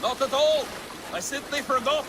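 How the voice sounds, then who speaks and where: An actor playing a brash, boastful Viking raider in a video game, blunt and boisterous in manner. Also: male voice, very high-pitched, moderate pace, noisy background. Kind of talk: video game cutscene acting. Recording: loud water and boat ambience.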